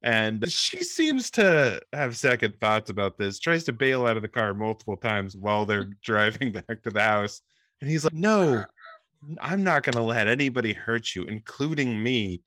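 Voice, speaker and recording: A man's voice: medium pitch, sing-song, moderate pace, pushed and bright, no room sound, studio quality. A man co-hosting a casual podcast, recapping a film's plot while musing and hedging aloud. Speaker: podcast co-host. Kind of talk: casual movie discussion.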